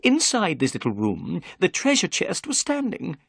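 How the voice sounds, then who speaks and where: A narrator voicing a nervous, talkative gentleman who chatters eagerly in a raised, fussy voice. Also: medium pitch, huge pitch swings, normal speed, vocal fry, slightly rough, dry recording, studio quality, voice pushed up, heavily acted.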